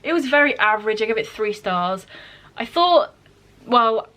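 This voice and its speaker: Woman, fairly high voice, casual vlog host, chatty, informal, self-correcting. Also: lively intonation, normal speed, pushed and bright. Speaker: book vlogger, host.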